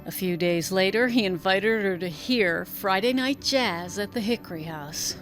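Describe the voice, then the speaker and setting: A woman's voice: medium pitch, measured pace, soft background music. A woman reading a literary story aloud as an audiobook narrator, in scripted narration.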